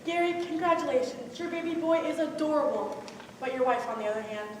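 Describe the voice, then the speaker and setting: High-voiced woman as a hospital caller, echoing. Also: fairly even intonation, brisk, lightly acted. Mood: grave news. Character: hospital caller delivering news to a worried father. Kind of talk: live stage one-act play. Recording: very echoey hall, distant mic, faint noise.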